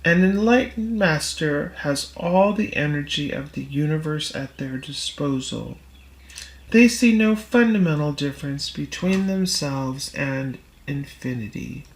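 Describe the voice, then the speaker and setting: Slow-reading woman in an echoey room. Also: low voice, expressive. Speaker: reader. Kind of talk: audiobook-style reading of a spiritual text.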